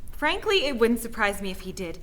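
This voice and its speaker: A woman's voice: on the high side, big pitch swings, fairly quick, fairly bright tone. A woman playing an anxious, cautious resistance member in a sci-fi radio play, serious and pleading. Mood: worried.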